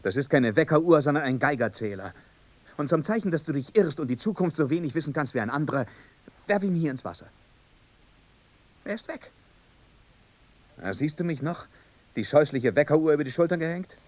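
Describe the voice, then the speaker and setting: An actor playing an unhappy, brooding young husband who is dead, in a poetic radio play, with solemn, heavy delivery. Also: male voice, fairly high pitch, lively intonation, fairly quick, pauses often, lightly acted. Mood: defiant.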